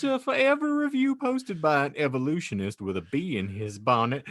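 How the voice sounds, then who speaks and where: A man's voice: mid-range voice, dry recording, clean studio sound. A man hosting a podcast, narrating fan fiction in a playfully exaggerated, mock-pious way and laughing often.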